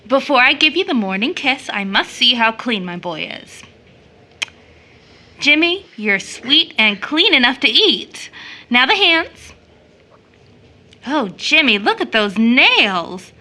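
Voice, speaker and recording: A young motherly woman in an audio play, with a bright, fairly high voice. She is fond and playful, teasing and gently correcting as she goes. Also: sing-song, slow pace, pauses often, exaggerated voice. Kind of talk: stage play audio drama acting.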